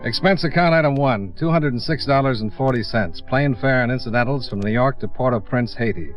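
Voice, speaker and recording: An actor playing a businesslike insurance investigator in a 1950s radio drama, clipped and matter-of-fact. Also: male voice, mid-range voice, a little fast, over music, lightly acted. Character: cool, businesslike male freelance insurance investigator. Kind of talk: 1950s radio drama acting and first-person narration. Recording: dull old radio recording.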